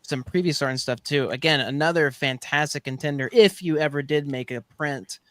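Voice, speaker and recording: A man's voice: fairly high pitch, a little fast, a bit bright, dry recording, studio quality. A man co-hosting a casual chat over a video call, enthusiastic and full of fillers. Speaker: podcast co-host.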